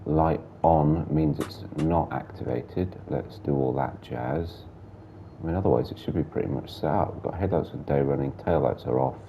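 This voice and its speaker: Man, very deep and gravelly, blocked-up and hoarse, casual muttering sim-driving commentary over a faint background hiss. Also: normal speed, highs cut off. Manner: chatty, muttering through cab controls. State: sore throat, blocked nose. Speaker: gameplay streamer.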